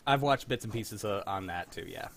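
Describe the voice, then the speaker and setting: A man's voice: fairly low pitch, lively intonation, moderate pace, gravelly. Guy hosting a stream, rambly and self-deprecating, video call audio. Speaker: livestream host. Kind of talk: casual group video chat.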